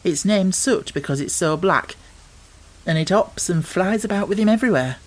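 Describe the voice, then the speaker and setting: A man's voice: sits high, animated, normal speed, voice pushed up, low-quality recording. A man voicing a stubborn, talkative young Yorkshire housemaid with homely dialect in an audiobook. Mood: chatty, fond. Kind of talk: audiobook character voice.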